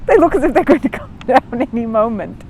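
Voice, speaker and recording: A woman's voice: on the high side, expressive, a little fast, a little mellow, a little hiss or hum. Woman as a mild, matter-of-fact family man. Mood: mild, amused.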